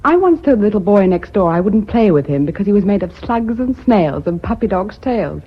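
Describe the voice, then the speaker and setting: A dull radio recording of a British career secretary, composed and devoted to her work. Her slightly rough voice moves expressively through its range. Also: medium pitch, big pitch swings, a little fast. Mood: teasing. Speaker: actor in a radio play. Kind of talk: British radio drama acting.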